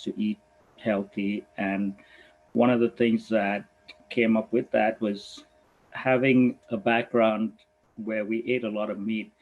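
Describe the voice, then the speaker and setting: A man in his sixties, a veterinarian originally from Goa, speaking in a thoughtful, halting way and laughing easily. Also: on the low side, a little mellow, lo-fi sound. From Goa, India. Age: 60s. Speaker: veterinarian guest. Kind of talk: reflective conversation.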